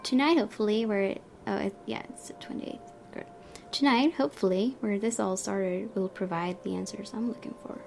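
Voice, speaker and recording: A woman's voice: medium pitch, moderate pace, creaky, over music. Young woman reading a visual novel aloud. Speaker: gaming video host.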